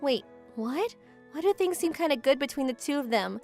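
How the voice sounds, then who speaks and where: Woman acting high-pitched huffy schoolgirl Yuri. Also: expressive, moderate pace, darkish tone, faint music behind. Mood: quietly conflicted. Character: Yuri, tsundere teenage girl, jealous childhood friend in denial. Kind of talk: manga dub romcom acting.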